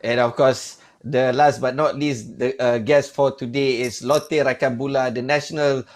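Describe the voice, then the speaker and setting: A man's voice: mid-range voice, steady tone, moderate pace. A man hosting an online panel show, chatty and joking, often calling his guests mate. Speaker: host.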